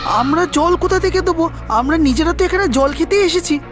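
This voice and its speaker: A woman's voice: extremely high pitch, fairly quick, soft background music. A woman voicing a carefree, casual young brother in a cartoon fairy tale. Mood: alarmed. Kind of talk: animated fairy tale acting.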